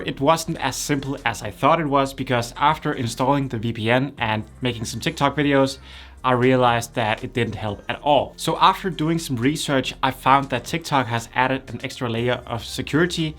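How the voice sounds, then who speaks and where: A man's voice: mid-range voice, fairly bright tone. Man explaining app settings step by step. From Denmark. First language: Danish. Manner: plain, step-by-step instructional delivery. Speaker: YouTube creator. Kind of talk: tutorial explainer.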